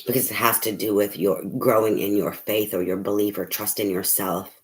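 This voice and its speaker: This is a hoarse, fading voice from a tarot reader who is talking to camera. The delivery is soft and a little quiet. It wanders in a loose, casual way, padded with fillers and the occasional offhand curse. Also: deep voice, steady tone, normal speed. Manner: rambling, filler-heavy, swears casually; speaking softly. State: losing voice, hoarse.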